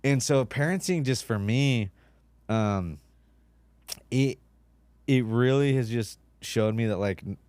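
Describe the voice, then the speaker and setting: A man's voice: mid-range voice, takes it slowly, long pauses, darkish tone, clean tone, no room sound. A man in his thirties, a podcast guest whose first language is Spanish, talking casually with lots of likes and you-knows. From United States, Mexican immigrant mother.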